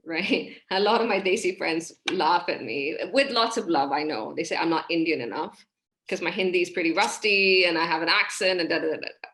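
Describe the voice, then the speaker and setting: A woman's voice: fairly low pitch, a little fast. A woman in her thirties from Hong Kong speaks as a podcast guest on a video call from a small room. She talks in a casual, heartfelt way and gestures freely as she explains her ideas. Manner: warm, expressive, gestures while talking. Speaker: guest, relationship coach.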